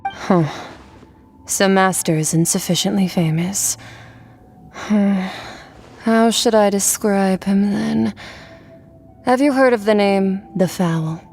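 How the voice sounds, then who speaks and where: A woman playing an aloof, blunt young warrior from another world in a video game cutscene, deadpan and detached. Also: medium pitch, takes it slowly, pauses often. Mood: mildly puzzled. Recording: background music under the voice.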